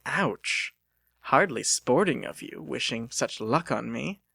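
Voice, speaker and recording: A man performs a young mechanic from a storybook who is polite to a fault. The delivery is earnest and formal, with a very mobile pitch and a dry, close sound. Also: lively intonation, takes it slowly, gravelly, bright, forceful tone, slightly rough, no room sound, full character voice. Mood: mock offended. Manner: courteous, formal. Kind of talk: dramatic audiobook character reading.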